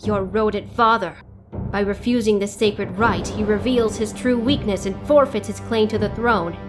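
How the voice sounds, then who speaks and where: Woman playing proud ninja clan leader, female, medium pitch, imperious, formal, menacing, motion comic, prominent music. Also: moderate pace, natural voice. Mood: challenging, scornful. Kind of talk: motion comic fan dub acting.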